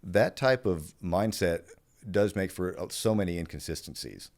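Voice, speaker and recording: Male podcast guest, Pennsylvania Dutch accent, very low voice, measured and halting delivery. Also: lively intonation, darkish tone. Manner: thoughtful, halting, with frequent fillers and restarts. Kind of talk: conversational podcast interview.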